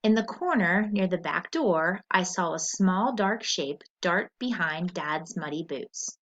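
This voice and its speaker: Expressive, unhurried woman teacher reading aloud. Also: medium pitch. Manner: instructional, expressive, deliberate. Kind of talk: scripted story read-aloud with comprehension questions. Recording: dull lo-fi recording.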